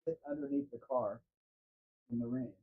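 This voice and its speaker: A man hosts a relaxed live Q&A, rambling easily and calling out viewers by name. His voice sits in a middling pitch. The audio is dull and muffled. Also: moderate pace, slightly rough. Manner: chatty, answers chat by name, wanders while talking. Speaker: livestream host. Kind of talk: casual live Q&A chat.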